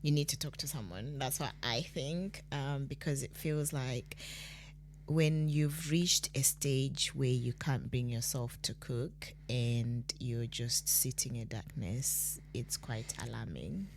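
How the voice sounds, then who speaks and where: A woman's voice: a bass voice, takes it slowly, vocal fry, darkish tone, a little hiss or hum. A Zimbabwean woman co-hosting a podcast, telling anecdotes in casual English mixed with Shona. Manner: chatty, tells anecdotes, mixes Shona into English. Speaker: podcast co-host. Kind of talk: casual chat.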